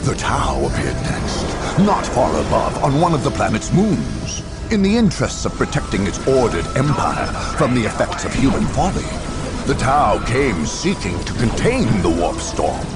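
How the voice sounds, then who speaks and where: Ominous war chronicler, theatrical game narration, slightly low pitch, measured slow delivery, prominent score underneath. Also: male voice, very animated, loud music behind the voice. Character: grave storyteller chronicling a far-future galactic war. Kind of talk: dramatic scripted game cinematic narration.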